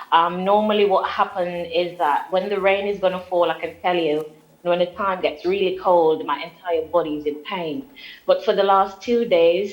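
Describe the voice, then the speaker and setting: British-sounding woman guest, low voice. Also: poor audio. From Jamaica. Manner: earnest, explains at length. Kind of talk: live TV interview.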